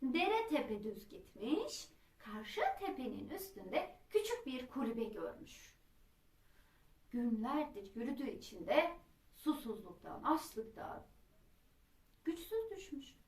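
A woman narrates a children's folk tale with dramatic, expressive phrasing. Her voice is fairly high. The recording is echoey, with her some way from the microphone. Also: takes it slowly. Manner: dramatic, with traditional storyteller phrasing. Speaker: storyteller. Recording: roomy echo, distant microphone.

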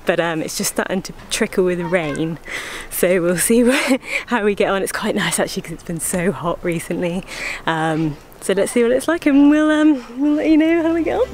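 A woman's voice: mid-range voice, normal speed, hardly any pauses, darkish tone, slight background noise. A young British woman, a travel vlogger chatting casually to a handheld camera at arm's length outdoors. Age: young adult. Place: outdoors in mountain villages.

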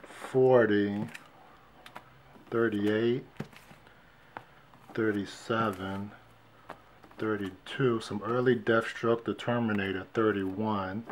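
A man casually showing his comic book finds on camera, calmly counting off issue numbers one by one at a shelf indoors. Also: lowish voice, takes it slowly, long pauses, slight background noise. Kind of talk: casual show-and-tell vlog. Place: indoors at a wooden shelf display. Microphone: fixed camera, hands only in frame.

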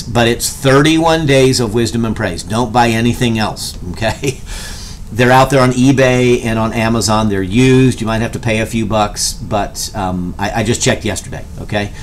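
Middle-aged guy pastor-teaching from his study, chatty, keeps saying okay?, faint rumble behind. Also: measured pace. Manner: conversational, animated hand gestures, keeps asking "okay?". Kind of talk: casual teaching talk. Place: home study with bookshelves and whiteboard.

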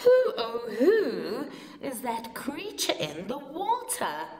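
A woman voicing a gentle young male misfit dinosaur in a storybook, earnest, drawn-out and hooting. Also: high voice, wildly swooping pitch, reverberant, voice pushed up, heavily acted. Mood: wondering.

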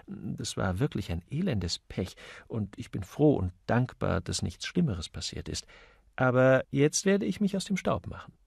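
A man voicing a witty, suave gentleman detective in audiobook dialogue, urbane, wry and playful. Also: medium pitch, sing-song, unhurried, vocal fry, slightly rough, no room sound, studio quality, full character voice. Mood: brisk, apologetic.

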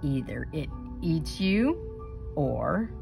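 A woman reading a children's picture book aloud expressively, with deliberate, dramatic emphasis and suspenseful pauses. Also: deep voice, big pitch swings, gravelly, music in the background.

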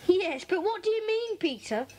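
A woman's voice: very high-pitched, lively intonation, normal speed, warm tone. A woman playing a polite young schoolchild in a children's audio drama. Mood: polite, questioning. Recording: faintly noisy 1980s cassette.

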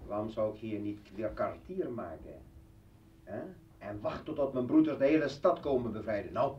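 Actor as mocking drunken revolutionary, dull roomy recording. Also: male voice, on the low side, moderate pace, far from the mic, lightly acted. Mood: drunken, taunting. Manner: taunting, theatrical. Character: bitter, drunken, mocking revolutionary leader and ex-husband. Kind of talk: radio play acting.

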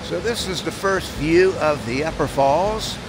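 A man's voice: a little high, lively intonation, unhurried, noisy background. A man in his sixties narrating a casual outdoor travel vlog in a plainspoken, folksy way. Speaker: travel vlogger and hiker. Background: music.

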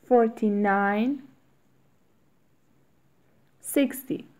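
A woman reading short English phrases aloud one at a time, with long pauses for learners to repeat. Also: on the high side, darkish tone. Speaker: English teacher. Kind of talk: slow read-aloud drill for learners.

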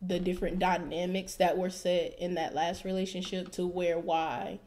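A young woman talks things through on a casual podcast, close to a studio microphone in a small room. She hedges and reflects as she goes, letting her sentences trail off softly. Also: fairly low pitch, little pitch movement, normal speed. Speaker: podcast co-host. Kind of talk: casual chat. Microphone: studio mic with headphones.

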